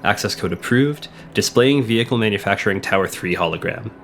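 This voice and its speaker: A man with a cold, hoarse and a little sniffly, chatting casually while playing a video game, thinking aloud and reading on-screen text. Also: on the low side, fairly quick, a bit bright, a little hiss or hum. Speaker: let's play host. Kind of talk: casual gameplay commentary. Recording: game music playing behind the voice.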